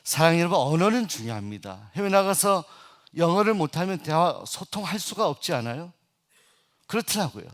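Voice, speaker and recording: A middle-aged man preaching a Sunday sermon as senior pastor. He hesitates often with filler sounds, then builds to loud, emphatic passages. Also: a little high, very animated, fairly quick.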